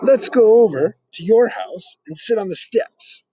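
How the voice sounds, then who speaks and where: Picture-book kid, acted by a man: urgent, exclaiming young boy, raised pitch, very lively swoops. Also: measured pace, a little hiss or hum, low-quality recording. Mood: eager.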